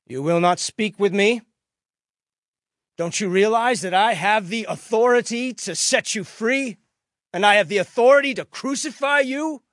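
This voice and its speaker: Man playing loud, exasperated Roman governor. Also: high-pitched, lively intonation, a little slow, no echo, studio quality, voice pushed up, exaggerated voice. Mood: threatening. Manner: loud, emphatic, declamatory. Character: forceful, exasperated Roman governor, commanding and troubled.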